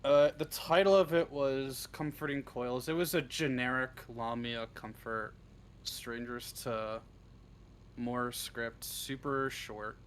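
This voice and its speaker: Male interview guest, mid pitch, casual and halting, self-deprecating, loose with swearing. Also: fairly even intonation, a little hiss or hum. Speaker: interview guest, script writer. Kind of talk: casual chat.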